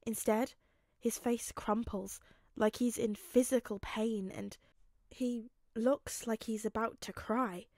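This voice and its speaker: A woman reading fan fiction aloud as expressive, dramatic narration with halting, emotive pauses. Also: a little high, no echo, studio quality. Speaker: fan fiction audiobook reader.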